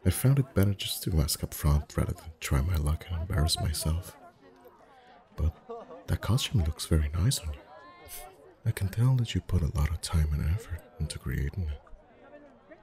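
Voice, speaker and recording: A man playing a friendly, chatty, gently flirtatious festival face painter in a soft-spoken ASMR roleplay, with a gentle, rambling manner. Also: a bass voice, expressive, moderate pace, pauses often, crowd noise. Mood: warm, attentive. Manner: gentle, rambling, softly chuckling. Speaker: ASMR voice actor.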